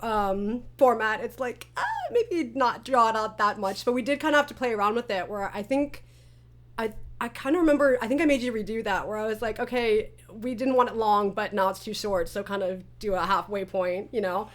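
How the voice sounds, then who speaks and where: A woman chatting casually as an interview host and creator, telling stories in an animated way with quoted asides. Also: on the high side, a little fast.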